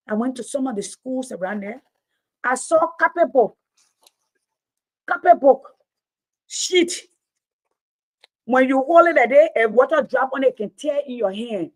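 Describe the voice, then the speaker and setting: A woman's voice: a little high, rough recording. A woman with a Liberian accent, a teacher and former radio host, giving an emphatic, passionate talk that often repeats words for stress. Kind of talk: impassioned public talk.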